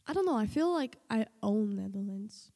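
A woman's voice: mid-range voice, animated, moderate pace, dark tone, big-room sound. A teenage girl from Slovenia, a native Slovenian speaker, chatting casually and hesitantly in an interview with many fillers and restarts. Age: teens. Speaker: guest, host's daughter.

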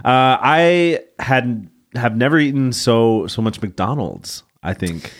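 A man's voice: mid-range voice, animated, slow. A young man co-hosting a podcast who sounds congested and a little out of breath from allergies, teasing and laughing easily. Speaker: podcast co-host. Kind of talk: casual banter.

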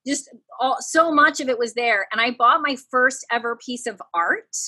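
A woman in her forties with a high, youthful-sounding voice talks freely in a relaxed podcast chat. Her tone is bright and forward, and she laughs easily as she shares stories. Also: moderate pace. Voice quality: higher-pitched, youthful-sounding. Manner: expressive, laughs often, animated. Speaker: coach. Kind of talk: casual podcast conversation.

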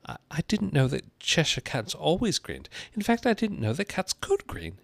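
Young storybook girl, done by a man, high lifted voice, polite, earnest, questioning, easily exasperated. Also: wildly swooping pitch, moderate pace, gravelly, a bit bright, no echo, heavily acted. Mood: timid, polite. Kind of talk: storybook character reading.

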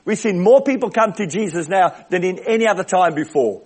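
Booming man preaching. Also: expressive, fairly quick, a little mellow, husky. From Australia. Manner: big booming voice, emphatic repetitions. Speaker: visiting preacher. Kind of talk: sermon. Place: church service.

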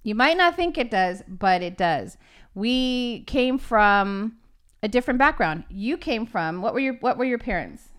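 A woman's voice: fairly high pitch, animated, a little slow. A woman in her forties from Los Angeles, co-hosting a casual conversation in a chatty, teasing way. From Los Angeles, California. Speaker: co-host.

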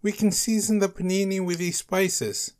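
A man voicing a hyper, bratty child in a story, yelling in repetitive chants. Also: sits high, fairly even intonation, moderate pace, voice pushed up, natural voice. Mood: eager.

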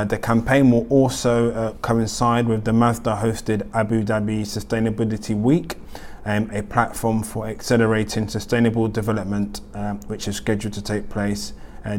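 Man hosting a halting news roundup. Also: fairly low pitch, darkish tone, a little hiss or hum. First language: not German. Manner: hesitant, many ums and uhs. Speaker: host. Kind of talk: weekly news roundup vlog.

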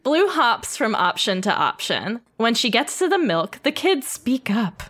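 A woman's voice: a little high, a little slow. A woman reading stage directions aloud at a comedy table read, adding playful asides. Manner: lively, reads with playful asides.

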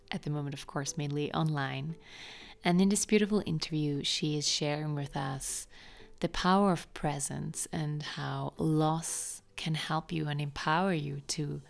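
This woman hosts an interview show, and her voice sits low with a gravelly fry. She talks softly and earnestly and drops into a breathy hush at moments. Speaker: podcast host. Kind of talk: gentle interview hosting.

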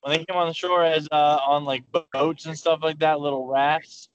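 A man's voice: fairly high pitch, little pitch movement, normal speed, slight background noise. A man co-hosting a casual podcast chat, telling stories in a rambling way with many restarts. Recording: muffled lo-fi call audio.

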